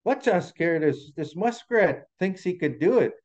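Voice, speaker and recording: A man's voice: sits high, animated, measured pace, darkish tone, highs cut off, voice pushed up. A man voicing a boisterous, scornful beaver who jeers at smaller animals in a storytelling performance. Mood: mocking. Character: loud, mocking beaver jeering at a smaller animal.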